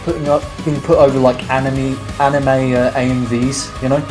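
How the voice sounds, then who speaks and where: A man's voice: medium pitch, level tone, moderate pace, over music. A man co-hosting a podcast, chatting casually about songs in a rambling, hesitant and jokey way. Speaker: podcast co-host reviewing songs. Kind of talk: casual music reaction chat.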